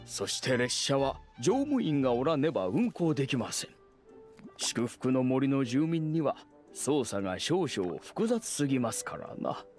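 抑揚豊かな威厳ある森の長老. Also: male voice, mid-range voice, very animated, unhurried, a little mellow. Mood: courteous. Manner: archaic, dignified old-man phrasing. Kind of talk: Japanese anime game acting. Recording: faint music behind.

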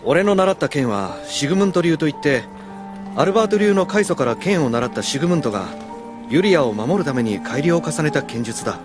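Anime drama role: easygoing young male swordsman, actor, expressive, slightly high voice. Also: brisk, over music, natural voice. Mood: explaining. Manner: casual, explanatory. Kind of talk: Japanese anime audio drama acting.

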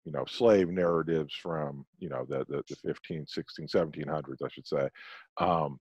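A man co-hosting over a video call on a headset, talking in halting bursts with repeated restarts. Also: very deep voice, fairly even intonation, a little mellow, slightly rough, no echo. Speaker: podcast co-host. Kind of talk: casual video-call chat.

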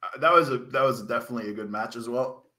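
A man in his thirties co-commentating on a wrestling stream over a video call, slightly under the weather and coughing, excitable and rambling, with a headset mic. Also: mid-range voice, fast talker. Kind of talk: casual live-stream commentary chat.

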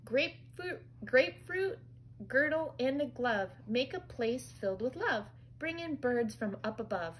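A woman voicing an excitable, bossy little girl princess in a children's storybook, with a theatrical, exclamatory delivery. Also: a little high, normal speed, a little hiss or hum, poor audio. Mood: chanting rhymes.

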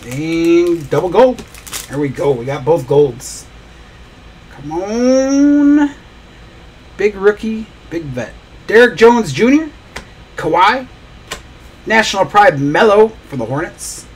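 A man's voice: sits high, expressive, slow pace, long pauses, slight background noise. A man hosting a live trading card break, opening packs and rattling off player names as he goes. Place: small indoor studio desk.

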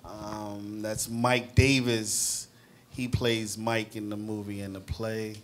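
Man, interviewee, monotone, slow, quiet, faint room noise. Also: fairly low pitch.

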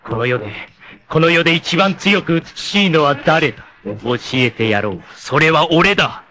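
A man voicing a vain, treacherous martial-arts villain in an anime, with a theatrical, haughty, drawn-out delivery. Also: on the high side, animated, sharp tone. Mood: haughty, scornful. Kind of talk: dramatic anime voice acting.

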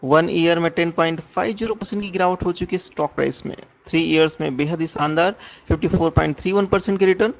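An Indian man narrates an explanatory analysis in the manner of an online finance presenter. His voice sits a little high for a man, with a noticeable gravelly fry. The recording is dull and lo-fi. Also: fast. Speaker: presenter of a stock market education channel. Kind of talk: explanatory stock analysis narration over screen share. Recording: dull, muffled lo-fi voice-over.

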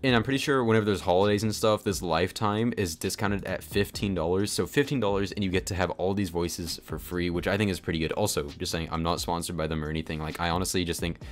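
A man narrating a casual software tutorial in a chatty, informal, explanatory way. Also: sits low, fairly even intonation, quick pace, few pauses. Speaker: tutorial host. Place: voice-over on a screen recording. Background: background music.

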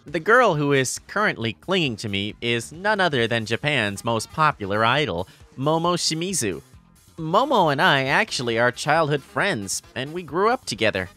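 A man's voice: fairly high pitch, sing-song, normal speed, sharp tone, soft background music. A man playing an easygoing teenage boy narrating a romantic comedy manga story, explanatory and fondly exasperated. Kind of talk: scripted manga narration and dialogue.

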